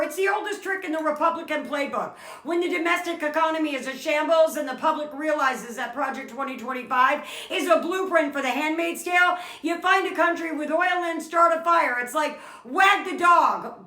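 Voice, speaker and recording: Woman acting deadpan, sarcastic, exasperated coworker. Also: sits high, normal speed, reverberant. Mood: withering. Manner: dry, withering, articulate sarcasm. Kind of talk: comedy skit dialogue.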